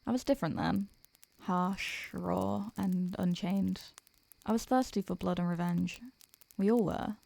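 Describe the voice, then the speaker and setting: A woman playing an ancient, doting succubus in an intimate roleplay audio, speaking softly and gently in an affectionate, unhurried way. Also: medium pitch, fairly even intonation, gravelly, no echo. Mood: solemn storytelling.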